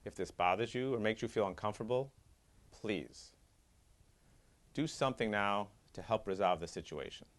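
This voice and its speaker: Man in his fifties, surgeon, low voice, earnest measured appeal, office recording. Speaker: head and neck surgeon. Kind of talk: scripted advocacy monologue. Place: doctor's office with diplomas.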